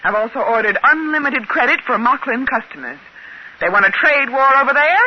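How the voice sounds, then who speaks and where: An actress playing a brisk, imperious company inspector in a 1950s radio drama, curt and haughty in manner, with an American accent. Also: female voice, high-pitched, expressive. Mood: furious, determined. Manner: curt, haughty, clipped commands. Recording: hissy, muffled 1950s radio recording.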